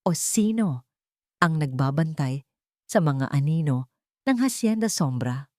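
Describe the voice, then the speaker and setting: A woman's voice: on the low side, darkish tone, no room sound, studio quality. A woman with a Filipino accent reading a scripted dramatic story as its narrator.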